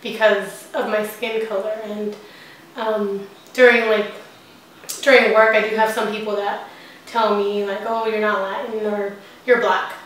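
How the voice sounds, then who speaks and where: A woman's voice: mid-range voice, little pitch movement, unhurried, a little hiss or hum. A woman answering interview questions casually, hesitant, with many fillers and restarts. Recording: echoey room from a distance.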